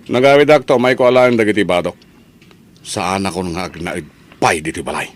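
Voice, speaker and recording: A man's voice: low voice, a little fast, lightly acted. A man acting an earnest, restless son who wants work, in an emphatic radio-style drama manner. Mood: weary, determined.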